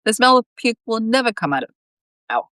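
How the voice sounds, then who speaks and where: A woman's voice: a little high, big pitch swings, brisk, bright, forceful tone, dry recording, pitched above the performer's own voice. Storybook mentor, man in his forties, kindly and wry female reader, dry recording. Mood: concerned, wry. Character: kind, wise man in his forties, team cook.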